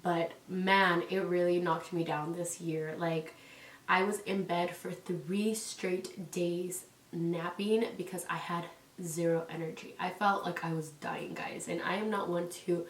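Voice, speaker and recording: A young woman with a low-set voice chats casually at home, rambling and filling her talk with "like" and "um." She sounds stuffy and congested from a cold. The echoey room and her distance from the mic give it a loose, hollow sound. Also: steady tone, a little slow. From California. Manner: rambling, many "like"s and "um"s. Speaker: parenting vlogger. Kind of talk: casual chatty vlog. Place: indoors at home.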